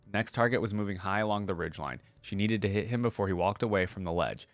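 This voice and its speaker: A man's voice: on the low side, quick pace, dry recording, faint music behind. A man hosting a reaction video, reading stories aloud and commenting in a laid-back, colloquial fan style. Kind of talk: casual commentary and story reading.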